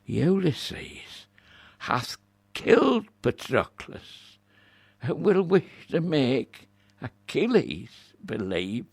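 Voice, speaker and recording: A man playing a scurrilous, bitter camp cynic in a Shakespearean audio drama, sneering and muttering in halting phrases. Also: high-pitched, creaky, husky, no room sound, exaggerated voice. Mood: muttering, uneasy.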